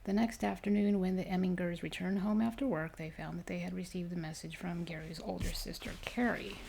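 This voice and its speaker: A woman reading a story aloud ASMR-style, soft and unhurried, partly whispered. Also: on the low side, steady tone, vocal fry. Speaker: ASMR reader. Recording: faint noise behind the voice.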